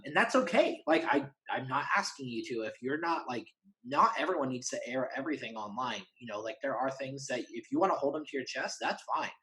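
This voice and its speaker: Earnest man talking casually, mid-pitched. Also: fairly bright tone, poor audio. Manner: informal, earnest, restarts and rephrases often. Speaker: interview guest. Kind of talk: casual, candid conversation.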